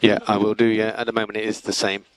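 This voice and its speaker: Guy race engineer on noisy team radio, calm short instructions, says key calls twice, engine hum behind. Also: lowish voice, fast. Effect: team radio transmission. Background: vehicle hum and noise.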